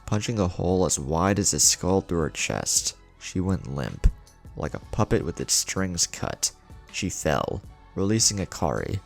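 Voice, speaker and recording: A man's voice: low voice, creaky. A man hosting a story channel, reading scripted fan fiction in a dramatic narrator's delivery.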